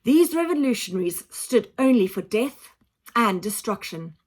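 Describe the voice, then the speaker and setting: A woman reading a children's book aloud in an expressive, dramatic, emphatic style, like a teacher telling a story to a class. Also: a little high, normal speed. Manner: dramatic, emphatic, acts out sound effects. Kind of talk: expressive children's audiobook narration.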